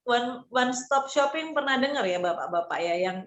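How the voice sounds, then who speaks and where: A woman marketing consultant talking in a casual group discussion, pausing often with fillers and restarting her sentences. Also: fairly high pitch, lively intonation, fairly bright tone. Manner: hesitant, many fillers and restarts; informal, conversational explaining.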